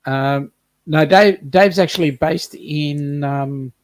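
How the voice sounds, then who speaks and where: A man's voice: a little high, slow, no room sound. A man, co-hosting a casual livestream and reading viewer comments aloud. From South Africa. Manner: chatty, reads out viewer comments. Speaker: co-host reading live chat.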